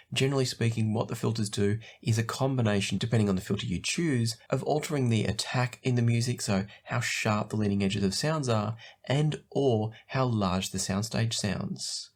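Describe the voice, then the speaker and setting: A man, stuffy and croaky from a sinus infection, giving a friendly, chatty review of audio gear as the channel's host. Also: lowish voice, a little mellow. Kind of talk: casual product review talk to camera.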